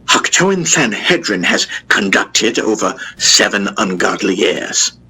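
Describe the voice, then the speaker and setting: A man acts out a solemn queen addressing war-weary people in an audio drama. The delivery is slow and formal with heavy pauses, and the tone is strikingly bright and forceful. Some noise and low rumble sit behind the voice. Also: big pitch swings, exaggerated voice, rough recording. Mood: resolute. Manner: deliberate, oratorical, with heavy pauses.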